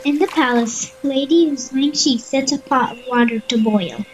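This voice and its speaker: A woman's voice: sits high, unhurried, rough recording. A woman narrating an audio play in a scripted storytelling style. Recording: faint music behind the voice.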